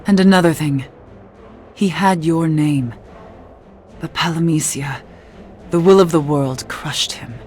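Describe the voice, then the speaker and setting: A mysterious young witch, low and slow. She speaks gravely and with quiet drama, like a cursed soul in a fantasy game cutscene, over music. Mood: solemn, haunted. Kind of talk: video game cutscene acting.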